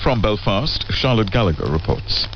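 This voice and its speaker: A man's voice: lowish voice, very animated, moderate pace. A man presenting scripted radio news as the programme's newsreader, introducing reports. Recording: muffled, lightly noisy shortwave radio relay.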